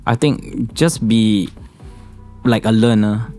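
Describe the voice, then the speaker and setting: A young man with a Singaporean English accent, speaking calmly and evenly as a financial consultant guest on a podcast. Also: slow, soft background music. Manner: calm, low-key, says he speaks monotonously. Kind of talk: casual podcast chat.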